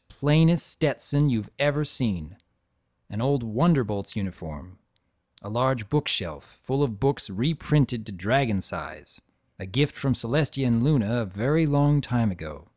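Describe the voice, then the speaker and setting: A man voicing an aged, gentle dragon in a dramatic reading, reflective and sentimental as he tells his own story. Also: medium pitch. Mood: fond reminiscence. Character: aged dragon, gentle, nostalgic, fondly guarding keepsakes.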